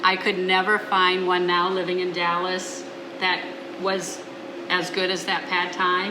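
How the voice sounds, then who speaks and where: A woman's voice: on the low side, monotone, measured pace, echoey room, noisy background. A woman hosting a talk show, interviewing a guest in a restaurant with gushing enthusiasm. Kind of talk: casual interview.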